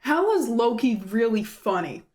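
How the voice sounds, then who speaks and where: A playful woman doing casual reaction commentary. Her voice is on the high side and swings expressively as she jokes along. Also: sing-song, unhurried, a little mellow. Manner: playful, joking. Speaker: reaction video creator.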